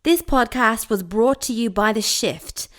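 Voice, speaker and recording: A woman's voice: on the high side, a little slow, a bit bright. A woman reading a short scripted announcement as a podcast announcer.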